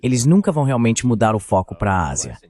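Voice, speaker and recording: A man's voice: medium pitch, very animated, quick pace, a little mellow. A man giving explanatory geopolitical commentary as a guest on a discussion panel.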